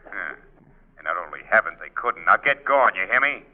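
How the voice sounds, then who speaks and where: A man's voice: low voice, expressive, moderate pace. An actor playing a menacing, cocky gangster with slangy wise-guy talk in a 1940s radio drama. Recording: thin, muffled 1940s radio broadcast.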